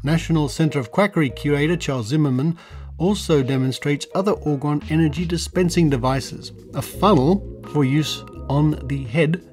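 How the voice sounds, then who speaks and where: A man's voice: on the high side, a little mellow. Male podcast host over music. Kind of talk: semi-scripted podcast monologue. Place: sound-treated home recording room. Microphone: close studio condenser microphone.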